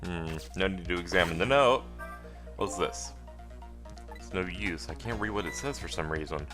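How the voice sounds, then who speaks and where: Man as a wry, low-voiced male ghost. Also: normal speed, over music, natural voice. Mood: thoughtful. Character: wry, cool-headed male ghost with special powers. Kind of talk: video game character dub in a let's play.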